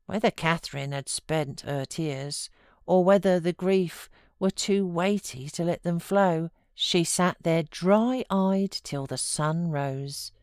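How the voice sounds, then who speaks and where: A British woman reading a classic novel as an audiobook narrator, in a measured, expressive, dramatic literary style. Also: fairly low pitch, dry recording, studio quality.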